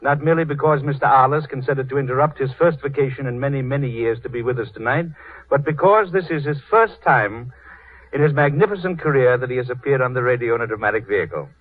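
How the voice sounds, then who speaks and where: Formal male announcer for vintage radio theater: declamatory, rough-edged voice, narrow phone-like old recording. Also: medium pitch, quick pace. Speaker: host and narrator of a radio drama series. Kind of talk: formal 1930s scripted radio presentation. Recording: tinny, husky 1930s radio recording.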